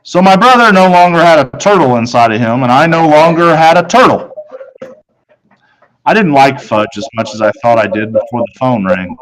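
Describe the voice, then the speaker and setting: A man's voice: mid-range voice, expressive, normal speed, poor audio. A man reading a first-person children's story aloud as its narrator. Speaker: storybook reader.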